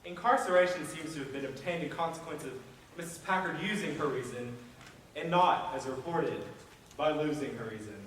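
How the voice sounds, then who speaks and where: In a very echoey auditorium, picked up from far off, a man plays a courteous 19th-century board member in a stage drama. His fairly high male voice is formal, measured and deliberate. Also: a bit bright, natural voice. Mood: reasoned. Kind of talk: stage play acting. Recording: echoey auditorium, distant mic, faint noise.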